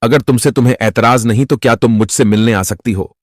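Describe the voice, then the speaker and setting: A man's voice: medium pitch, fast, no echo, studio quality, close to an everyday voice. A man voicing a serious, formal, guarded young fiancé in a cartoon story. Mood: polite. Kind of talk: Hindi cartoon story acting.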